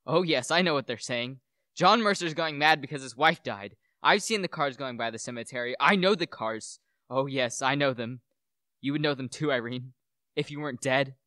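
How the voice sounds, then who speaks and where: A man's voice: medium pitch, sharp tone, no room sound, clean studio sound, natural voice. Teenage boy acting an intense, brooding widower. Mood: bitter, paranoid. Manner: intense, halting, melodramatic. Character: brooding, obsessed widower addressing his dead wife. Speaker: student actor. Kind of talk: radio play monologue.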